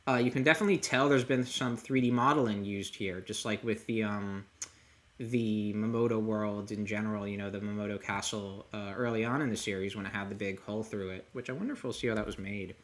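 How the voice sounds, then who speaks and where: A man hosting a casual livestream discussion, talking in a rambling, halting way as he thinks aloud. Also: fairly low pitch, fairly even intonation. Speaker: livestream host. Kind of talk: casual discussion of a comic chapter.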